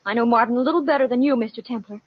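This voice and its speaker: An actress playing an anxious, devoted young sweetheart, fretful and tender, in 1950s radio mystery style. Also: female voice, on the high side, racing, no echo, natural voice. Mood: concerned, firm. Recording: dull, muffled 1950 radio broadcast.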